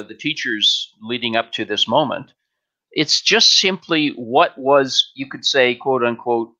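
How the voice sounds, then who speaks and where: An older man speaks as a spiritual teacher in a live Q&A. His voice is mid-pitched and bright, with measured, halting phrasing and emphatic short statements. The sound is slightly dull, as from a headset on a video call. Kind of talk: spiritual Q&A talk, explanatory.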